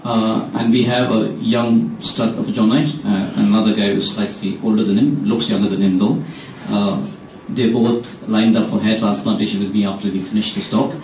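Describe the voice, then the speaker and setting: Joking Indian man with a lowish voice. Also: flat delivery, moderate pace, darkish tone, slight background noise. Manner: joking, teasing. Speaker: vice president, introducing speakers. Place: lecture hall. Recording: echoey hall, distant mic.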